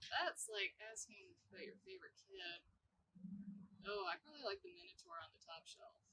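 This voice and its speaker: A woman's voice: high voice, creaky, pushed and bright, distant mic, slight background noise, rough recording. A woman, a ceramic artist, chatting playfully about her work and acting out her own thoughts. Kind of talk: casual interview chat. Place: library exhibit space.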